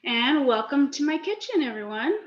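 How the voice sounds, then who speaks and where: Chatty woman in an echoey kitchen. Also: high voice. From Northern California. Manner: friendly, chatty, a little nervous. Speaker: guest speaker, personal chef. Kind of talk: casual presentation on a video call. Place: home kitchen. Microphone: laptop or webcam mic on a video call. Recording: echoey, dull video-call audio.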